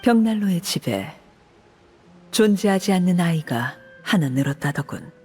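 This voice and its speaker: Commanding game matriarch: lowish, breathy, crisp-edged bright tone, measured and formal, faint music. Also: female voice, expressive. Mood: ominous, cold. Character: commanding, coolly composed woman, feared orphanage head called Father. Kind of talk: Korean video game voice acting.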